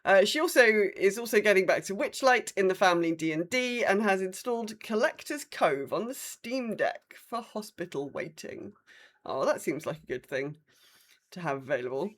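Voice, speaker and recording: A woman's voice: mid-range voice, animated, moderate pace. A woman co-hosting a podcast in a casual, informal style, often doubling words for emphasis. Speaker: podcast co-host. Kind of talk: casual podcast chat, reading community updates.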